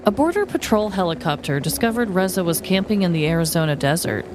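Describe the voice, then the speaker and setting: A woman co-hosting a podcast, telling stories in an informal, expressive way. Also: fairly low pitch, fast talker, music in the background. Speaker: podcast co-host. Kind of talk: casual chat and scripted storytelling.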